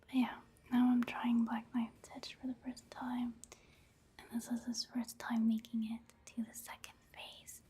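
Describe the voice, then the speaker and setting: Woman, ASMR game commentary, breathy whisper, mellow, slow and even. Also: a little high. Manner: slow, hushed, unhurried. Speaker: ASMR video creator narrating her gameplay.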